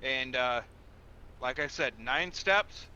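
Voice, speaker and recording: Guy playing a patient game roleplay cop, very sharp bright voice, procedural and firm, kinda muffled noisy mic. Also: medium pitch, animated, slow pace, exaggerated voice. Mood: instructing. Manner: procedural, instructive, polite but firm. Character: patient, methodical male traffic police officer. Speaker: roleplayer acting as a police officer.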